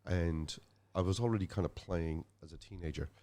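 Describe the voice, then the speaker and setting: Deep-voiced Dublin man, rambling. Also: a little fast, warm tone. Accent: Dublin Irish. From Ireland. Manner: rambling, restarts mid-phrase. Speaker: guest musician. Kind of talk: casual interview chat.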